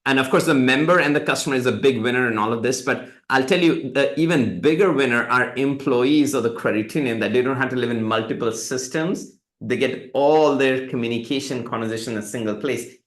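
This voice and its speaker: A man's voice: medium pitch, roomy sound. A middle-aged man from India, whose first language is Hindi, telling his company's story as a webinar guest, acting out the voices of the people he quotes. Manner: animated storytelling, quotes others in lively voices. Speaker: tech company CEO, guest. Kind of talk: live webinar interview.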